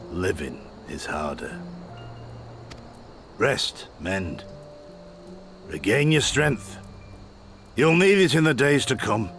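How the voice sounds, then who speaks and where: An actor playing an impassioned rebel leader in a fantasy game, speaking grandly and deliberately like a practised orator. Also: male voice, deep voice, heavily acted. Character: impassioned male rebel leader, rousing orator, world-weary mentor to soldiers. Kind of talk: theatrical fantasy game acting.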